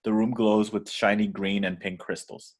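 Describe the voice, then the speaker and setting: A man's voice: lowish voice, level tone, moderate pace. A man reading screenplay stage directions as scripted narration in an amateur table read.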